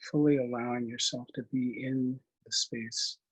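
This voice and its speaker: A man leading a guided meditation in a gentle, unhurried manner, leaving long pauses between short phrases. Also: mid-range voice, flat delivery, husky, low-quality recording. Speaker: meditation instructor.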